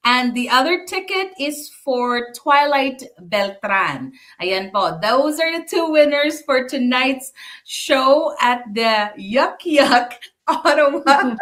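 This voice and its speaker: A woman's voice: on the high side, a bit bright. A Filipino woman whose first language is Bisaya, chattily hosting a live talk show with a Filipino accent and some Tagalog mixed in. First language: Bisaya (Waray). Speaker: radio show host.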